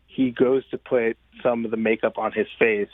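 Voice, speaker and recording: A man's voice: medium pitch, little pitch movement, normal speed, dry recording. A man being interviewed as a playwright and director, talking informally and thinking aloud, on slightly muffled audio. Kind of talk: conversational radio interview.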